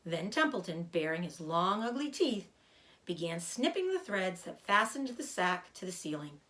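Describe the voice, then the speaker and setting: A woman reading a children's book aloud as an expressive storyteller. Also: on the low side, moderate pace, rough recording.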